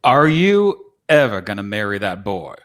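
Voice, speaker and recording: A man performs a blunt cowboy out of an old painting in a staged script reading. His pitch moves around a lot, and his talk is folksy and old-fashioned. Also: sing-song, a little slow, a bit bright. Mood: gruff grumbling. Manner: folksy, old-timey turns of phrase.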